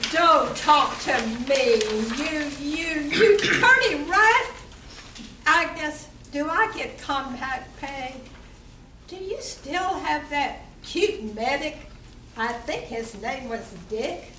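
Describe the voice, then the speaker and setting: A woman playing a feisty wounded dame with halting delivery in an amateur comic radio play. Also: sits high, lively intonation, pushed and bright, exaggerated voice. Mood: mock defiant. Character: feisty wounded female tough, flirty, gangster-movie insults. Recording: distant echoey room, faint noise.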